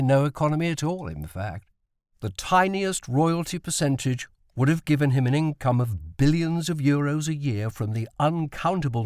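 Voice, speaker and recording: A man's voice: mid-range voice, darkish tone, no room sound, clean studio sound. A man reading a novel as an audiobook narrator.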